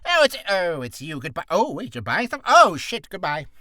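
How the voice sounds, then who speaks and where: A man's voice: high-pitched, huge pitch swings, normal speed, sharp tone, dry recording, clean studio sound, exaggerated voice. A man voicing a fickle, rude shop merchant from a game, swinging between welcome and blunt dismissal. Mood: eager, then curt. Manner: greets sweetly, dismisses bluntly.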